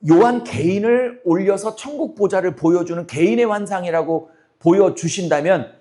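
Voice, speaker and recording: Hoarse middle-aged pastor guy, pretty high voice, keeps asking the congregation questions, blunt and punchy. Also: dark tone. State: hoarse, voice cracking. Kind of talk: sermon.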